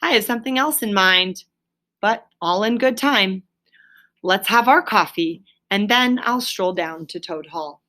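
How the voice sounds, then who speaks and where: A woman reading a storybook, voicing an excitable, self-pitying male toad with theatrical, drawn-out delivery. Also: medium pitch. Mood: humble, scheming. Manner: theatrical, drawn-out exclamations and sighing replies.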